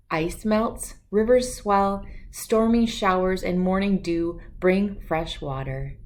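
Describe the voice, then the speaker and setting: A woman reading a children's story aloud as host, with expressive, deliberate phrasing. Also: mid-range voice, a little hiss or hum. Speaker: children's book reader and host.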